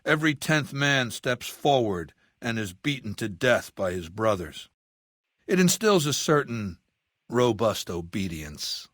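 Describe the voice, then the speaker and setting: An actor playing a ruthless, cultured warlord in a video game, speaking in a measured, imperious, matter-of-fact way. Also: male voice, mid-range voice, big pitch swings, a bit bright, no echo, studio quality. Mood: cold, calculating. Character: ruthless, cultured warlord dictator in middle age. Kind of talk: dramatic game dialogue monologue.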